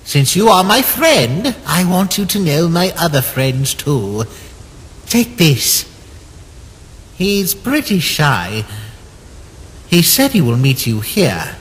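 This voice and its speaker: A man's voice: fairly high pitch, fairly bright tone, slightly rough, exaggerated voice. A man voicing an eager, naive, proud skeleton with grand, exclamatory delivery in a cartoon comic dub.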